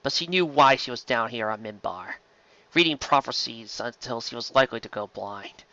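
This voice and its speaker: Male narrator for dramatic sci-fi fiction: bright, intense delivery, mid-pitched, slightly dull recording. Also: normal speed, dry recording. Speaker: story reader.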